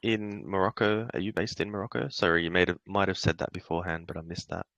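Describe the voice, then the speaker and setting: A man's voice: low voice, flat delivery, fast talker, gravelly, fairly bright tone, no echo. A man hosting an online AMA, asking questions and encouraging his guests. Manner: encouraging, affirming. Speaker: host.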